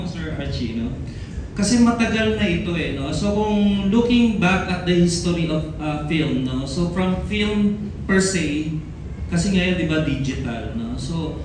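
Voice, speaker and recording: A Filipino man, a film director, giving talkative press conference answers, mixing in English and often tagging on questions. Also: sits high. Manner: talkative, code-switching into English, frequent tag questions. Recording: very echoey room, distant mic, loud noise.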